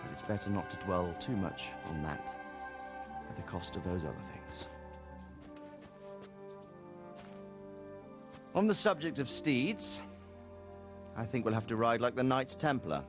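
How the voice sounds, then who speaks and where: An actor playing an easygoing middle-aged nobleman in a video game, a fatherly mentor who talks in an unhurried, wry, reflective way. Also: on the low side, big pitch swings, loud music behind the voice. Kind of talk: cinematic video game dialogue.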